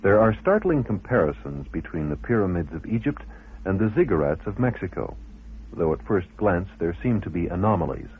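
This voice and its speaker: A man's voice: sits low, very animated, moderate pace, phone-quality sound, slight background noise. A man reading scripted radio documentary narration in a formal, erudite way.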